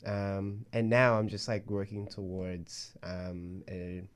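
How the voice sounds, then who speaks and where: A man's voice: sits low, little pitch movement, slow pace, clear, resonant tone. A man from Australia being interviewed casually, speaking in a modest, thoughtful, hesitant way as he works out his answers. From Australia, grew up in Brisbane. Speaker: rapper and poet, interview guest.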